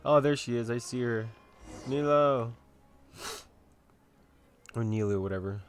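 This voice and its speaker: A woman's voice: rumbling low, a little slow, soft background music. A woman giving casual commentary as she records a video game playthrough.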